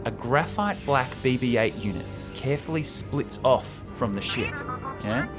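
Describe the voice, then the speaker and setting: A man's voice: mid-range voice, normal speed. A man hosting a show, retelling a film script with enthusiastic, chatty asides. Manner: enthusiastic, banters with co-host. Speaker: podcast host. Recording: loud music behind the voice.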